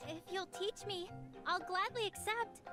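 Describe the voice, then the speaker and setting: An excitable, very high-voiced teenage girl. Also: unhurried. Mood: eager. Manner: bubbly, expressive, exclaiming. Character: eager, excitable teenage girl, budding alchemist. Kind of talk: anime-style game dub acting. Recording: music behind the voice. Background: game music.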